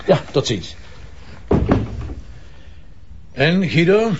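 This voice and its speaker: A Flemish actor playing a shrewd, sceptical police commissioner in a naturalistic crime drama, talking in a colloquial, probing way. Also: male voice, on the high side, very animated, unhurried. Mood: sceptical, wry. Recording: muffled sound with faint noise.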